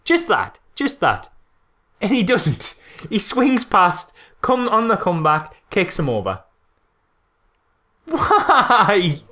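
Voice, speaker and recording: A British man talks through a film in a casual, comedic podcast style. His voice sits fairly high and is bright and punchy, with very animated intonation. He sounds exasperated and repeats phrases to hammer a point home. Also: takes it slowly.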